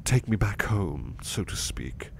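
Casting: male actor as gentle sleepy servant for ASMR roleplay, low creaky voice, anxious, halting, devoted. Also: very animated, exaggerated voice. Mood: uneasy, reluctant. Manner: hesitant, stammering, apologetic. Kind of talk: soft-spoken ASMR roleplay acting. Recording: faint background noise.

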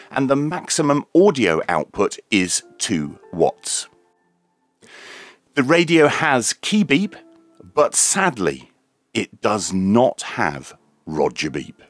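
A man presenting a scripted radio review in a deadpan, tongue-in-cheek manner. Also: on the low side, slow pace, soft background music. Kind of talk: scripted product review narration with dry jokes.

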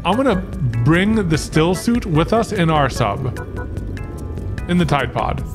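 A man in his thirties doing casual, playful, excitable gameplay commentary as a streamer, often repeating phrases, on a close desk microphone. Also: a little high, slow pace. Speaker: gaming streamer and co-host. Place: indoor home streaming setup. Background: game music.

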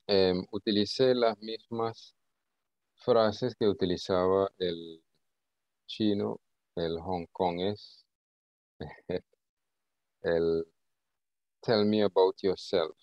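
A man teaching an informal online lesson, speaking haltingly and often repeating his words. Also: low voice, dry recording. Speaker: English teacher. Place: indoor video call. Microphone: video call. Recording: dull, lo-fi call audio.

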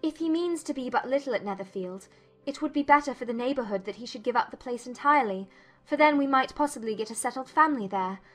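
Witty, self-possessed young lady, played by an actress, lightly high-pitched, formal and teasing, period audiobook drama. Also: expressive, quick pace, a bit bright, faint music behind. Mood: politely probing. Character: witty, self-possessed young English gentlewoman, playfully challenging.

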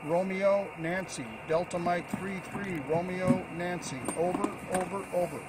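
A man's voice: high-pitched, fairly even intonation, unhurried. An older man working as an amateur radio operator, giving call signs and repeating short radio phrases. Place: outdoors on an open hilltop. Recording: noisy outdoor field recording.

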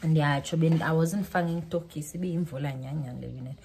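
A woman's voice: sits low, fast talker. A young woman with a South African Xhosa accent, rambling casually in a home vlog and switching between languages, recorded on a phone held at arm's length in a small bedroom. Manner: rambling, code-switching, with soft laughs. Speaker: vlogger. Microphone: phone camera at arm's length.

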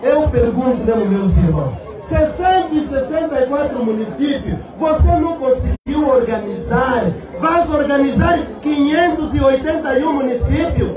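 An Angolan man giving an impassioned political rally speech in Portuguese-accented declamation, throwing rhetorical questions out to his listeners. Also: extremely high pitch. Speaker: political party secretary addressing a rally. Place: open-sided outdoor rally stand under a canopy. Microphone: cluster of press microphones and PA. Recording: echoing PA, distant, noisy crowd. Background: rally crowd.

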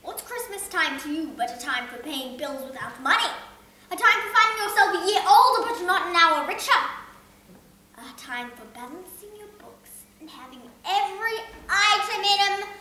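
A woman voicing a bitter, miserly old man who snaps out loud, scornful exclamations. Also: very high voice, lively intonation, takes it slowly, slight background noise, pitched above the performer's own voice, full character voice. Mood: indignant. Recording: echoey room, mic at a distance.

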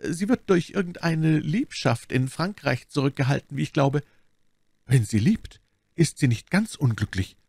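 A man's voice: on the high side, lively intonation, unhurried, vocal fry, darkish tone, husky, no echo, studio quality, pitched above the performer's own voice, full character voice. A man voicing a scheming noblewoman who feigns innocence in an audiobook, with polished, formal, artfully naive speech. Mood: sighing, wounded. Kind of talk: audiobook character dialogue.

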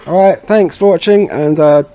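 A man presenting a casual step-by-step software tutorial in an informal way, addressing viewers as guys. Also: high-pitched, normal speed. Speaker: tutorial presenter, installer trade.

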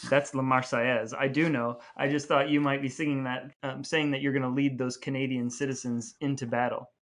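A man's voice: mid-range voice, flat delivery, a little fast, fairly bright tone. A man co-hosting a podcast in casual, bantering conversation. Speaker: podcast co-host. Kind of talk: casual banter between brothers.

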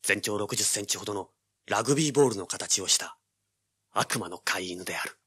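A man's voice: on the low side, a little fast, pushed and bright, slight background noise. An actor playing a cocky, hard-boiled young treasure hunter, telling his story as dramatic audio drama narration. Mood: tense narration. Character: brash, cool-headed young male treasure hunter, action hero. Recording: dramatic music under the voice. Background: dramatic background music.

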